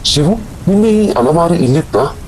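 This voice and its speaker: Man acting a determined villager, mellow voice. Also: lively intonation, moderate pace, lightly acted, rough recording. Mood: calm, reassuring. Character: Ramesh, determined calm village man investigating a haunted house. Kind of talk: cartoon horror story dialogue. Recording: faint rain and rumble behind voice.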